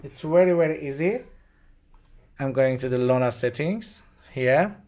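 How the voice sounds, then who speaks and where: A man with a medium-pitched voice demonstrates a product hands-on. He is a non-native English speaker and explains step by step, unscripted. His phrases are short and simple, with a halting flow. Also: lively intonation, darkish tone. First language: not English. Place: indoors at a demo bench with devices.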